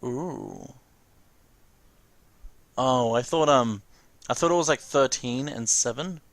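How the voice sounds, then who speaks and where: Animated man doing casual game commentary. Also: mid-range voice, slow, vocal fry, dry recording. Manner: profane, casual exclamations. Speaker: let's play host. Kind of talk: casual game reaction commentary, reading cutscene dialogue aloud.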